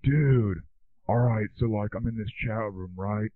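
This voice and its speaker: A man playing a dim, excitable young slacker who rambles through slangy stories in a comic monologue. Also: fairly low pitch, measured pace, no echo. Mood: baffled, excited. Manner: slangy, rambling surfer-dude talk. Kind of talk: comic monologue, casual storytelling. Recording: thin phone-like lo-fi sound.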